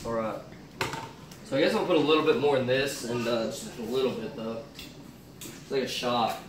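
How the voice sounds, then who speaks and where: A man's voice: mid-range voice, normal speed. Young man rambling in an echoey kitchen. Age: young adult. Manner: rambling, thinks aloud with fillers. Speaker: fitness cooking vlogger. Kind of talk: casual cooking demo. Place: home kitchen, indoors. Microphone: far camera on a stand. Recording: echoey kitchen, far-off camera mic, noisy.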